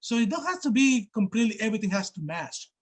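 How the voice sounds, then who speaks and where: High-voiced man, panelist on a video call, earnest, explains with examples. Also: big pitch swings, fast, slightly rough. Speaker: panelist, care coordinator. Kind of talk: casual panel discussion.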